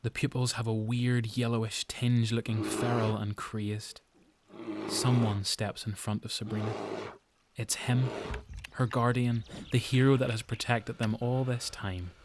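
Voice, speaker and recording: A man with a Northern Irish accent reading scripted, suspenseful dramatic story narration in deliberate phrases. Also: mid-range voice, level tone, a little hiss or hum. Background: music.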